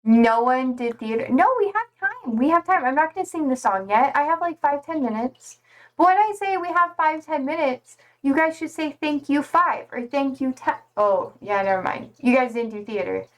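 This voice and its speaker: A woman's voice: high-pitched, expressive, moderate pace. Slangy chatty young woman streamer. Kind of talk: casual chat reading viewer comments.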